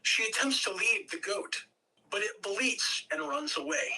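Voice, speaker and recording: A woman's voice: medium pitch, animated, unhurried, bright, forceful tone, recorded from a distance, rough recording. A woman reading stage directions as scripted narration at a table read.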